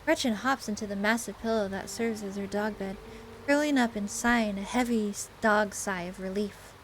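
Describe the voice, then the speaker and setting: A woman doing a chatty, expressive playthrough, reading a story game aloud and commenting as she goes. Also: mid-range voice, moderate pace, slight background noise. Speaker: gamer host reading a visual novel aloud.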